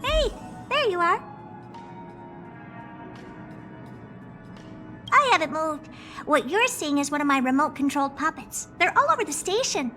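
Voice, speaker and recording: A woman's voice: high voice, sing-song, a little fast, pushed and bright, heavily acted. A woman voicing a proud, eccentric, youthful female genius scientist in a video game, self-assured and theatrical, delivering scripted monologues. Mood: brisk, breezy. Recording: music behind the voice. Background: music.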